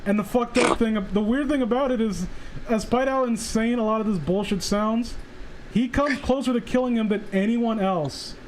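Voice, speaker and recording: A man hosting a casual livestream chat, rambling excitedly and swearing freely like an enthusiastic fan. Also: high voice, normal speed, a little hiss or hum, low-quality recording. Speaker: livestream host. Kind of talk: casual chat about comic book characters.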